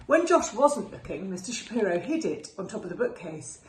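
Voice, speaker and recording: Animated woman reading a storybook, roomy sound. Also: mid-range voice, fairly quick. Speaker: teacher reading to children. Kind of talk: expressive children's storybook narration. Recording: roomy echo with faint background noise.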